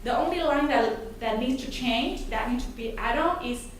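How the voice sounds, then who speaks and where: A woman who grew up in Argentina gives an earnest, halting public comment at a town meeting, restarting her sentences often. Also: on the high side. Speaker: resident speaking at a public hearing. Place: large echoey meeting hall. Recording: echoey hall, distant mic, some noise.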